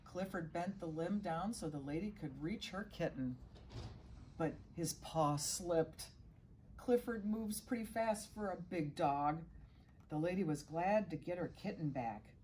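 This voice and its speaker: A woman's voice: fairly low pitch, unhurried, warm tone, slight background noise. A middle-aged woman reading a children's storybook aloud as a guest reader, now and then stumbling and correcting herself.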